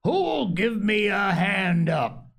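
A man's voice: sits high, big pitch swings, slow, gravelly, a bit bright, pitched above the performer's own voice, exaggerated voice. A man voicing a furious one-legged pirate who roars threats. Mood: roaring, furious. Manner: roaring, threatening.

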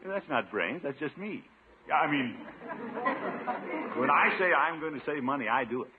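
An actor playing a pompous, blustery, thrifty middle-aged uncle in a chuckling, theatrical 1950s radio sitcom style. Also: male voice, fairly high pitch, sing-song, measured pace, a crowd in the background. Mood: self-righteous, boastful. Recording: noisy, phone-like old radio recording.

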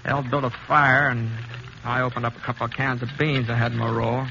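An actor playing a laconic, steady Old West drifter who tells his tale in a folksy, understated way in 1950s radio drama style. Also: medium pitch, a little fast, creaky, background noise, close to an everyday voice. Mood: understated, narrating. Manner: folksy, understated, plain-spoken. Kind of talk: 1950s Western radio drama acting and first-person narration. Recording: muffled old 1950s radio recording, music behind.

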